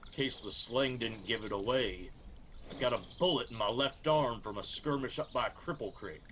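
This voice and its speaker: An actor in a radio drama playing a defiant, weary Union soldier with an Appalachian accent. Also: male voice, medium pitch, a bit bright, a little hiss or hum, natural voice. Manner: firm, defiant, unhurried.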